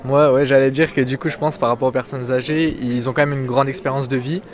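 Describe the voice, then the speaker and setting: A man's voice: mid-range voice, steady tone, fast talker. An eighteen-year-old man, a student, answering interview questions in a casual, spontaneous way. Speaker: social work student being interviewed. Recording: outdoors amid busy crowd chatter. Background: crowd chatter outdoors.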